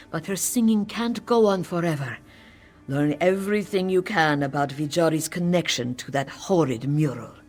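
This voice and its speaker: A man voicing a solemn cat-folk mystic in a video game, giving measured, urgent guidance. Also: a little high, lightly acted. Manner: grave, measured, instructive. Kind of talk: scripted video game quest dialogue.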